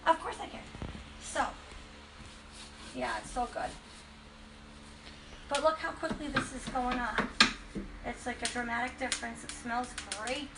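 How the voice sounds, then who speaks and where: A woman's voice: high-pitched, fairly even intonation, unhurried, long pauses, very noisy. A woman from Western Massachusetts demonstrating furniture finishing on a livestream, chatty and enthusiastic, a bit congested from a cold. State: has a cold. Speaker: furniture painting host. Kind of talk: casual livestream demo.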